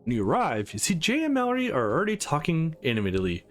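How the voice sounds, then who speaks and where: A man's voice: fairly high pitch, huge pitch swings, fast, soft background music. A man reading a visual novel aloud as a let's play host, dropping in casual asides between the lines.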